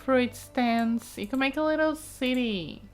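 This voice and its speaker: A woman's voice: sits high, a little slow. Chatty woman commentating a game over music. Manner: chatty, exclaiming, informal. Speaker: gaming streamer, let's play host. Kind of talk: casual let's play commentary, thinking aloud while exploring a game.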